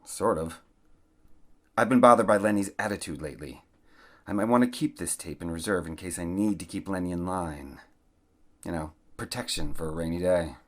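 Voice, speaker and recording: Corrupt mayor played by a man: low-pitched, scheming, bossy, for audio drama. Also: moderate pace, a bit bright. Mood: cool, calculating.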